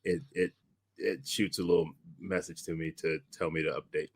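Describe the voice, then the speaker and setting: A man with a fairly low voice chats casually as a co-host. He eagerly repeats and restarts his phrases, in a dry recording with no room sound. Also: little pitch movement, moderate pace, husky, dry recording. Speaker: audio drama director, co-host. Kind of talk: casual chat.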